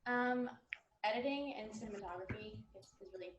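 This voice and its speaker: A woman's voice: a little high, a little fast, highs cut off. A young woman giving a brief, casual self-introduction as a prospective film student on an echoey, noisy video call. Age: young adult.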